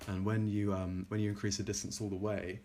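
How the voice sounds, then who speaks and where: A man presenting his own software in an informal technical demo, halting and often pausing mid-sentence. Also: on the low side, flat delivery. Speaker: plugin developer presenting a demo.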